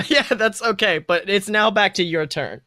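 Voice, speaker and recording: A man's voice: high voice, normal speed, bright, forceful tone, no echo, clean studio sound. A young man casually narrating a tabletop game as game master, playful, exclamatory and sweary. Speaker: game master and podcast host.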